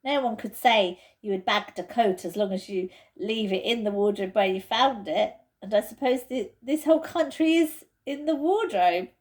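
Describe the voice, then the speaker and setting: A woman's voice: medium pitch, normal speed, few pauses, close to an everyday voice. A woman voicing a frank schoolboy, an older brother who takes the lead, with emphatic storybook delivery. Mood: frank, decisive. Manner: emphatic, old-fashioned schoolboy exclamations.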